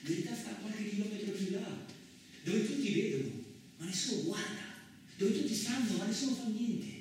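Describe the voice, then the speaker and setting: Halting, echoey migrant student, acted by a man. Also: high voice, lively intonation, a little mellow, distant mic, voice pushed up. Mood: grim, urgent. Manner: halting broken Italian, searching for words. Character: Polish student migrant labourer, male, grimly resigned, secretive. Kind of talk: radio theatre monologue acting. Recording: very echoey room, off-mic, some noise.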